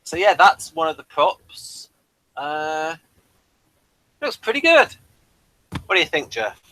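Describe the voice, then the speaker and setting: A man's voice: on the high side, very animated, slow pace, sharp tone, no echo, rough recording. A man hosting a casual pop-culture review, rambling keenly through small details. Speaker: review show host.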